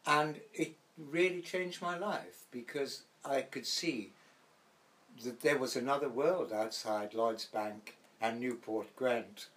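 Older Welsh actor telling stories. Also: male voice, mid-range voice, slow pace, fairly bright tone. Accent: light Welsh. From Wales. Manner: rambling anecdotal storyteller, acts out quoted voices. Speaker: actor guest. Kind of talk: reminiscing interview.

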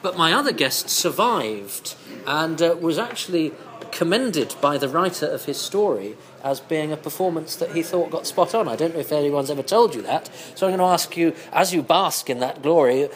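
A man's voice: fairly high pitch, animated, brisk. A man hosting a casual interview in a pub, chatty and enthusiastic. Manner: chatty, enthusiastic, stumbling restarts. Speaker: host.

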